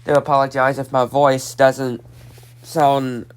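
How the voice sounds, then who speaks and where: A man's voice: medium pitch, little pitch movement, takes it slowly, clear, resonant tone. A nineteen-year-old man with a stuffed-up nose, casually recapping a cartoon episode and repeating himself with lots of fillers. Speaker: episode reviewer.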